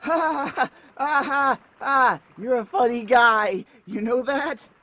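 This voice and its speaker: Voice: sits high, slow pace, bright, forceful tone, full character voice. A performer playing a nervous, easygoing youngster in an audio drama, hesitant and awkwardly jokey. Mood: awkwardly amused. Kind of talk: amateur audio drama acting.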